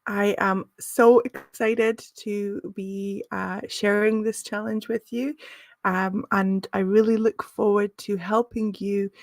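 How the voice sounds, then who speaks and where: A woman's voice: medium pitch, little pitch movement, takes it slowly, a little mellow, no room sound. A woman teaching a live business English lesson, friendly and encouraging, with frequent "um" and "uh" fillers.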